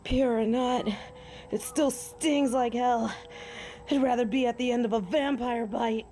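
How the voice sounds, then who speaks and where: A woman's voice: on the high side, fairly even intonation, a little slow, warm tone, soft background music, lightly acted. A woman playing a stubborn, hot-headed young female werewolf with a defiant, sarcastic manner in a romantic audio roleplay. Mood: pained, tense.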